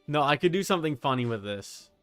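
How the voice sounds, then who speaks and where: A man's voice: a little high, expressive, quick pace, fairly bright tone, no echo, faint music behind. Casual man streamer, clean dry sound. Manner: casual, sweary. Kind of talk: casual livestream chat while making a game character.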